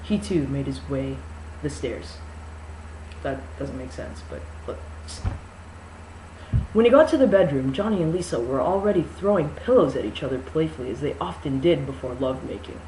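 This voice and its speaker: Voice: medium pitch, lively intonation, normal speed, dark tone. An amateur reader giving a mock-dramatic novel reading, breaking off into sarcastic, incredulous, sweary asides. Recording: echoey room with faint noise.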